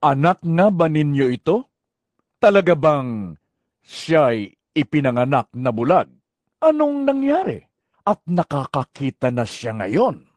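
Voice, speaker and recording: A man playing a stern, accusing religious leader, emphatic and drawn-out, in an audio drama. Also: fairly high pitch, very animated, a little mellow, no echo. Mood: suspicious. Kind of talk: Tagalog audio Bible drama.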